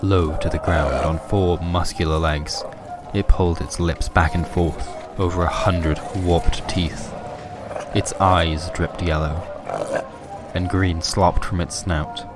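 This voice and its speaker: Man, deep low voice, horror story narrator, scripted delivery, music behind. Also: steady tone, slow pace. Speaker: narrator of a horror fiction podcast.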